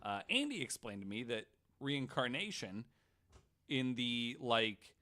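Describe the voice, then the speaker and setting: A man hosting a casual group podcast, telling joking, profane hypotheticals in an animated way. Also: medium pitch, a little slow. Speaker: podcast host.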